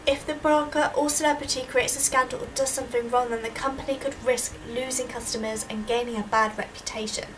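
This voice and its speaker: A woman's voice: fairly high pitch, steady tone, fairly quick, few pauses. A young woman giving a prepared student presentation in an earnest, explanatory, emphatic way. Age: young adult. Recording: distant microphone with audible background noise.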